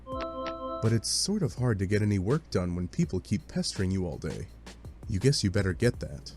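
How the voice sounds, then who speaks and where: A man's voice: lowish voice, very animated, moderate pace, a little mellow, faint music behind. A man narrating a scripted second-person story with a wry, dramatic storytelling manner, over faint hiss.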